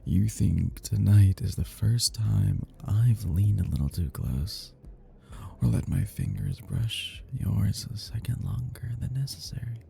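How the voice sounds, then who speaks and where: A man playing a cocky, flirty, tipsy male best friend in an intimate romantic ASMR roleplay, teasing in a slow, breathy, gravelly murmur that drifts into whispers. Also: warm tone, exaggerated voice. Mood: flirty, confessing. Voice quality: breathy, husky, gravelly low voice.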